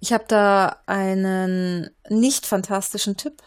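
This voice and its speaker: A woman's voice: medium pitch, steady tone, slow pace. A woman in a casual panel discussion, speaking earnestly with some fillers.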